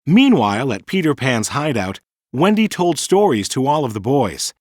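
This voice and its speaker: Animated male storybook narrator. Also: mid-range voice, moderate pace, no room sound, clean studio sound. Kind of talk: scripted children's storybook narration.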